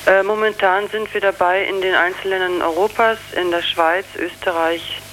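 A spokeswoman explaining in an interview, halting and searching for words. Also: mid-range voice, fairly even intonation, fairly bright tone, slight background noise, poor audio. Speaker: campaign spokeswoman being interviewed.

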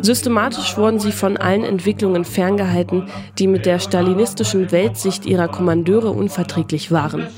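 A woman's voice: mid-range voice, brisk, few pauses, loud music behind the voice. A woman reads a scripted radio documentary as its narrator.

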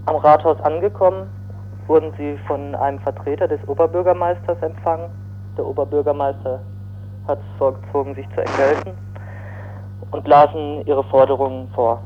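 A thin, humming telephone line carries a man's fairly high voice. He reads a prepared news report as a radio correspondent, halting and tripping over words here and there. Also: a little hiss or hum. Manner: halting reading with stumbles. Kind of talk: scripted news report, read aloud.

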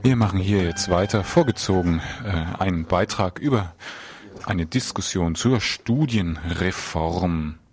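A man presenting live radio in a halting, improvised way. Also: low-pitched, a little mellow. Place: radio studio.

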